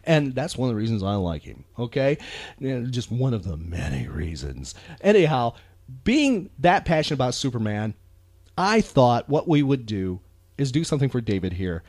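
A man's voice: medium pitch, sing-song, moderate pace, darkish tone, no echo. An American man co-hosting a podcast in a casual, emphatic, earnest and rambling way. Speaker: podcast co-host. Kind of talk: casual chat.

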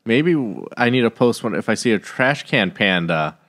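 Man, American accent, somewhat low pitch, casual and lighthearted, conversational podcast co-host. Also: animated, normal speed, a bit bright. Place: small indoor room with acoustic panels. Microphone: podcast microphone with headphones.

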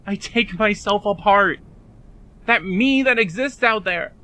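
A man playing a frightened, despairing young man in an emotional fan-dub drama, confiding haltingly and pleading. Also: high-pitched, sharp tone. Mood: agitated, scared.

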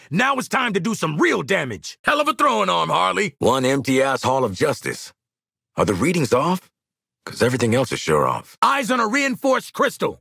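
A man's voice: fairly high pitch, vocal fry, a bit bright, slightly rough, dry recording, clean studio sound, heavily acted. An actor playing a cocky, irritable mercenary marksman in a video game, brash and wisecracking, with slangy delivery. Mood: urgent, forceful, then wry, confident. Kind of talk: video game character voice lines.

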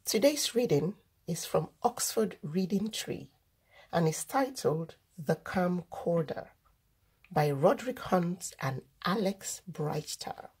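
A woman reading a children's storybook aloud, deliberately, word by word, as the host of a read-aloud. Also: lowish voice, lively intonation, darkish tone, a little hiss or hum. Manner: deliberate, word-by-word delivery for early readers.

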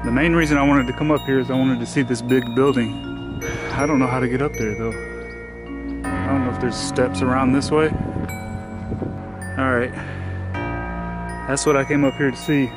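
A man in his thirties filming a casual travel vlog with the camera held at arm's length, chatting in a relaxed way and easily impressed by what he sees. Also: medium pitch, normal speed, pauses often. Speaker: travel vlogger. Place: outdoors on a country road, hotel and town. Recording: background music over the voice. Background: loud music.

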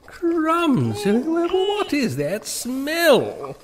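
A man's voice: very high voice, huge pitch swings, extremely slow, crowd noise, exaggerated voice. An actor playing a bumbling king in a comic audio drama, hesitant, stammering and blustery. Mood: dithering. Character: bumbling, dithering, sceptical old-fashioned king.

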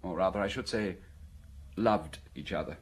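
A man's voice: deep voice, normal speed, breathy edge. A man playing an evasive, self-pitying middle-aged antique dealer in a radio drama, hesitant and stammering as he wheedles. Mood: anxious, pleading. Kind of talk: British radio drama acting.

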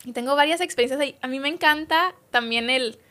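Woman guest, slightly high voice, lively anecdote-teller. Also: quick pace. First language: Spanish. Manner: lively storytelling, quotes others' words. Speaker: interview guest. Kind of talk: casual interview chat.

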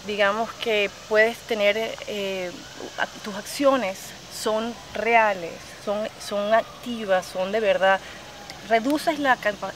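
A woman's voice: medium pitch, rough recording. A woman in her thirties from Chile with a Venezuelan Spanish accent, a yoga instructor talking animatedly with her hands and many restarts in an outdoor interview. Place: outdoors by a park lake. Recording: outdoor noise behind the voice.

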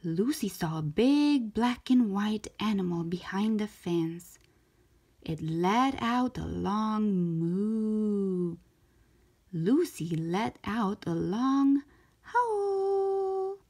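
A woman reading a storybook aloud for young children in an expressive, deliberate way, stretching out key words. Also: medium pitch, husky. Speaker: children's storybook reader, show host.

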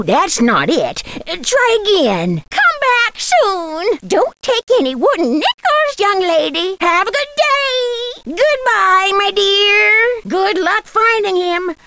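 A woman's voice: very high voice, a bit bright, no room sound, exaggerated voice. A woman playing an eccentric, feisty, muddled old lady in a video game, with a quirky, theatrical manner. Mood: playful. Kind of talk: video game character dialogue.

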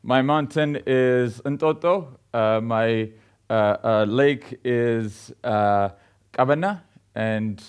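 A man, giving an earnest, measured conference keynote on a lapel mic in a large hall with an audience. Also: medium pitch, clear, resonant tone. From Ethiopia. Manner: earnest, measured delivery with frequent pauses and fillers. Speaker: CEO giving a keynote talk. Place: large indoor event hall with a seated audience.

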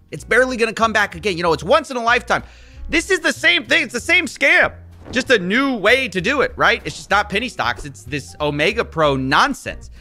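A man's voice: sits high, sharp tone. A man in his thirties giving investigative commentary in an incredulous, emphatic way at a close studio mic. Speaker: video presenter investigating scams. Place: small indoor studio. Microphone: close desk microphone.

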